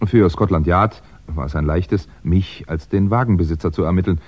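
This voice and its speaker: An actor playing a wry, composed crime writer in a 1960s German radio drama, telling his story in a measured, conversational way with hesitant asides. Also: deep voice, mellow, breathy edge, heavily acted. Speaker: actor playing narrator and lead. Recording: dull, band-limited 1960s radio recording.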